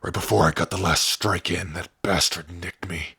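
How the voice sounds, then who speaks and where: A man playing a rough but kind-hearted orc outcast in an ASMR fantasy roleplay, with a gruff, raspy voice and an easygoing, wry way of addressing his companion. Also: low voice, steady tone, normal speed, pushed and bright, dry recording, studio quality, full character voice. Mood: weakening.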